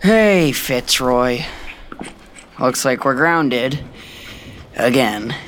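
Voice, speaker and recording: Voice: medium pitch, lively intonation, extremely slow, background noise. An actor playing an earnest, frustrated teenage prince in an audio drama, youthful and a little petulant. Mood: sulky, wistful.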